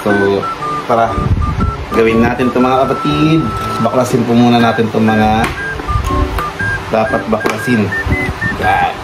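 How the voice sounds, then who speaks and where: A man's voice: mid-range voice, level tone, measured pace, pauses often, rough recording. A man with a Filipino accent giving a casual hands-on tutorial as a vlogger, addressing viewers in a conversational, familiar and polite way. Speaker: vlogger presenting an installation. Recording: music behind the voice.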